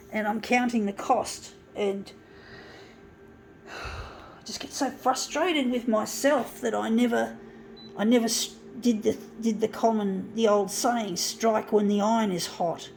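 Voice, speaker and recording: A woman's voice: fairly high pitch, steady tone, a little slow, creaky, darkish tone, a little hiss or hum, rough recording. A woman in her fifties talking in a rambling, self-deprecating monologue, growing frustrated and swearing as she goes. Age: 50s. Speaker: vlogger. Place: indoors, room with a copier.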